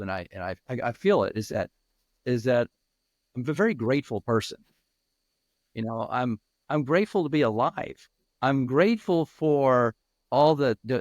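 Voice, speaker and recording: A man's voice: mid-range voice, dry recording. Earnest man over fifty, dry sound. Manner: earnest, halting, with many restarts. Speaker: guest peer mentor. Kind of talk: reflective interview answers.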